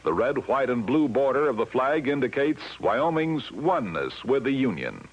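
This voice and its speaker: A man's voice: mid-range voice, sing-song, normal speed, slightly rough. A man with an American accent reading scripted announcements in a vintage 1950s radio style. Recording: dull vintage radio recording.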